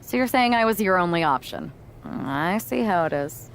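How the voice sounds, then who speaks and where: A woman's voice: fairly low pitch, animated, normal speed, slight background noise. A woman playing a loyal female MMA fighter in a video game, speaking casually with dry, teasing humor. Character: wry, loyal female MMA fighter, lifelong best friend. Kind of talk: cinematic game dialogue, casual.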